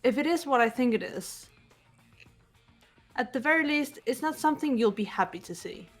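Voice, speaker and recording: A woman playing an aloof, guarded teenage girl with a cool, measured, curt delivery in an amateur video game dub. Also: high voice, animated. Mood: cautious. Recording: music behind the voice.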